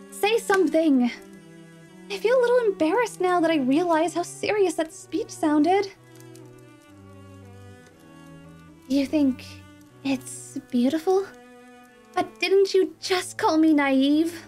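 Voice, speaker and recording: Girl voicing a cute idealistic adventurer lady, really high voice, bit nasal, gushing and sincere, music behind. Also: darkish tone. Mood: bashful, touched. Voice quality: nasal from a cold. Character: earnest, idealistic, excitable young female adventurer. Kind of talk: roleplay audio drama, cute.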